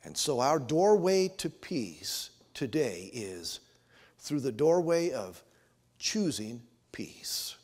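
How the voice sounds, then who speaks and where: A man in his sixties with an American accent, preaching and telling stories as a pastor, earnest and given to emphatic pauses, in a church. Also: medium pitch, very animated, darkish tone, slightly rough. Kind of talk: sermon with storytelling. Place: church sanctuary, at the altar. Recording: reverberant church hall.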